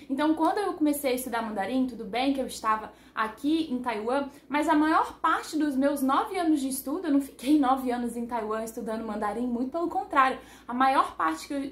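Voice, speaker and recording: Chatty woman in an echoey room. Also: high-pitched. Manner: chatty, rambling, self-correcting, laughs at herself. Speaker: language teacher on her channel. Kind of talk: casual explanatory lesson to camera. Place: living in Taiwan.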